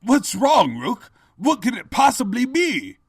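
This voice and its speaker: A man's voice: extremely high pitch, huge pitch swings, measured pace, husky, no echo, clean studio sound, heavily acted. A man playing a pompous, scheming evil pony king in a theatrical, grandiose manner, in a fan fiction audio drama. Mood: feigned concern.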